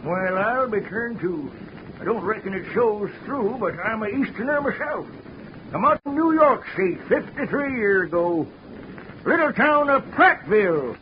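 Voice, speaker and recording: A man's voice: sits high, expressive, measured pace, background noise. An actor playing an old male desert mule skinner, speaking in a folksy drawl in a 1940s radio drama. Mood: gruff. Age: older. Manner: folksy drawl, hollering at mules. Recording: dull old radio recording.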